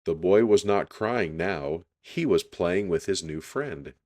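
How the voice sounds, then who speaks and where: A man reading a children's story aloud in an unhurried, deliberate storytelling style. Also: sits low, expressive, darkish tone.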